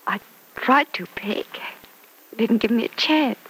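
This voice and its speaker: An actress playing a gentle, heartbroken young woman, speaking in a subdued, hesitant way in an old-time radio serial. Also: on the high side, unhurried, rough recording. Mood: gentle, sad. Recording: old radio broadcast, faint hiss.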